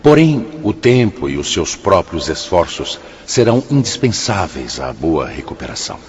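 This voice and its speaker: An actor with a Brazilian accent playing a grave, wise spirit mentor in a radio drama, measured and oratorical. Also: male voice, low voice, big pitch swings, slightly rough, dull audio, heavily acted. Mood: solemn.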